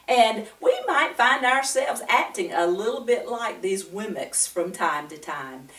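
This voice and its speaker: An older woman hosting a children's Bible story time, speaking directly to young listeners with frequent questions and pauses between phrases. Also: fairly low pitch, a bit bright. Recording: roomy, echoing, distant microphone.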